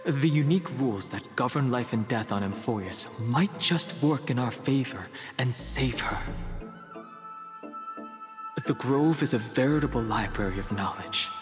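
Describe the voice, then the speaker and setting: A man voices a serious, reserved young fantasy game hero with a middling pitch. He speaks in a measured, understated, thoughtful way, with long reverb around the voice and clear music behind it. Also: expressive, lightly acted. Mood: pensive, measured. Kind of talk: scripted video game voice acting.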